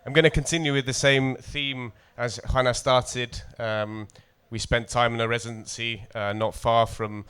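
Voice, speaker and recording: A man from North Wales with a North Welsh accent, a poet introducing and reading poetry at a festival in a measured, deliberate way. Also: mid-range voice, flat delivery, a bit bright, clear, resonant tone. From coastal North Wales.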